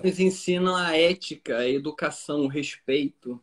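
A Brazilian man speaks as a priest and guest on a live stream. His voice is high for a man and husky, and he explains things didactically so that beginners can follow. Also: moderate pace. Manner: didactic, checks that listeners follow. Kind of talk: explanatory live-stream talk for lay listeners.

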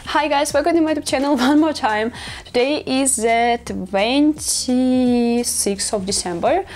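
A teenage girl with a Russian accent, her first language Russian, chatting in a casual home vlog in her bedroom, halting as she searches for English words. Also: high-pitched, darkish tone, slight background noise. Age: teens. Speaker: vlogger.

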